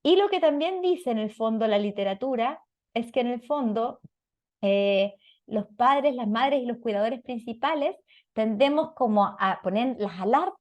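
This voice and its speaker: A woman's voice: on the high side, a little mellow. A woman with a Chilean Spanish accent giving an explanatory talk as a parenting lecturer, didactic and often checking in with short questions.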